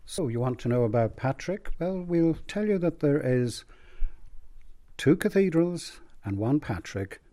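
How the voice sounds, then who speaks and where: A man with a Dublin Irish accent, an archbishop speaking in an earnest, measured way in a conversational joint address. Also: a little high, lively intonation, mellow. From Ireland.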